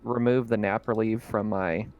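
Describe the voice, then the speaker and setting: A man's voice: fairly low pitch, steady tone, normal speed, lo-fi sound. A man chatting casually as a player during a tabletop roleplaying game. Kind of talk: casual game-session chat. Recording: clear background noise.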